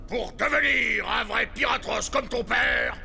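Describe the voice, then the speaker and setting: An actor voicing a ferocious, boisterous space pirate chief in a cartoon, gravelly and theatrical, with blustering delivery. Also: male voice, very animated, a little slow, heavily acted. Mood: blustering fury. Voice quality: gravelly, booming. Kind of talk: French cartoon villain acting. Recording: loud music behind the voice.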